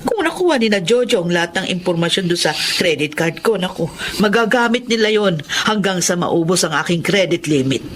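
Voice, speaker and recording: A woman's voice: fairly low pitch, animated, brisk, hardly any pauses, a little hiss or hum, natural voice. An actress playing a trusting, gracious woman customer in a Filipino melodrama. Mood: grateful. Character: grateful, trusting woman customer of a gas station.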